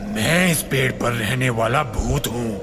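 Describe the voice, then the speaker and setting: A man voicing a menacing gorilla who poses as a tree ghost in a cartoon story, with a gravelly voice. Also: mid-range voice, measured pace, background noise, lightly acted.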